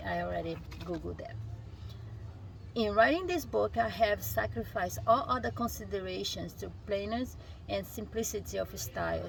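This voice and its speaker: A woman with a Brazilian Portuguese accent, a native Portuguese speaker, reads a book aloud outdoors while sitting on a rock. She reads haltingly, stumbles and restarts on words, and adds casual asides. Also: medium pitch, vocal fry, a little hiss or hum, rough recording. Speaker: vlogger reading a self-help book.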